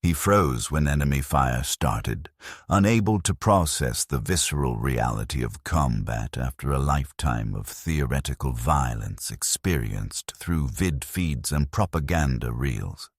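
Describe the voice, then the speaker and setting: An extremely low-pitched male narrator tells a grim military sci-fi tale. His delivery is formal and detached, yet his pitch moves around in a lively way. The recording is dry and close, with no room sound. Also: measured pace, slightly rough, no echo. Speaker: narrator of fiction stories. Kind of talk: scripted first-person narration of a grim military sci-fi story.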